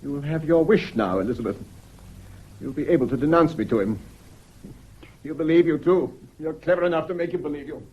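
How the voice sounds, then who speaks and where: Actor as nervous male widower, lightish high voice, animated pitch, hesitant, formal, evasive, period radio mystery. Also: a little fast, long pauses, breathy edge, dull audio. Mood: bitter, resigned. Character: anxious, evasive widower suspected of poisoning his wife.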